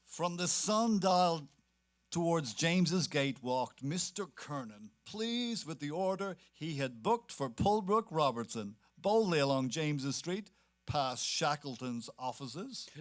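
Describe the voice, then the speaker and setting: A man's voice: on the high side, expressive, slow. A man narrating a literary text in a dramatic stage reading.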